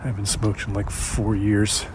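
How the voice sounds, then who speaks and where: Male horror audio-drama narrator, slightly deep, conversational and confiding, uneasy, hesitant; faintly noisy recording. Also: monotone, normal speed. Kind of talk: first-person diary-style horror narration, performed conversationally. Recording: clear background noise.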